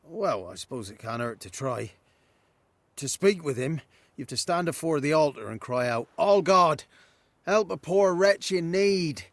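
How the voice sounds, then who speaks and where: A man's voice: on the high side, animated, measured pace, no room sound. An actor playing a humble, superstitious male villager in a video game, speaking earnest rustic dialect. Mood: cautious. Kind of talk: video game character acting.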